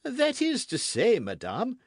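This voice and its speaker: A man's voice: extremely high pitch, wildly swooping pitch, slow, no echo, clean studio sound, voice pushed up, exaggerated voice. A man voicing a stern, cold-hearted king in an audiobook, speaking in a raised, demanding, imperious way. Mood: evasive. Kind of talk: audiobook character reading.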